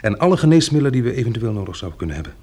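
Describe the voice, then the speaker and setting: An actor playing a practical, resolute man planning survival after a catastrophe in a Dutch radio drama, hesitating as he thinks aloud, with a husky, gravelly voice. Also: mid-range voice, sing-song, rapid-fire, mellow. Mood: businesslike.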